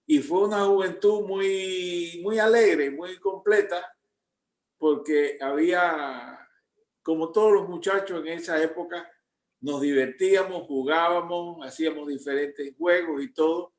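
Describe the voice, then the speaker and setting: An older man from Cuba with a Cuban accent, slowly recounting his youth to his grandchildren. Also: on the high side, fairly even intonation, roomy sound. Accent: Cuban Spanish. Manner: slow, reflective storytelling. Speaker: grandparent being interviewed. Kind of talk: recounting memories.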